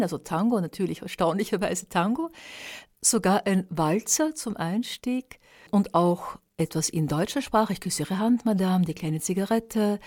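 A woman's voice: lowish voice, dark tone. A woman radio host presenting a tribute, speaking earnestly and stringing together lists of descriptions, often restarting her phrases.

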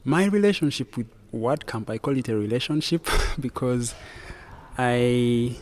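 A man's voice: mid-range voice, measured pace, a little mellow. Young ugandan-born guy, chatty, tells his story eager, medium voice. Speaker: web designer and student. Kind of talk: event interview.

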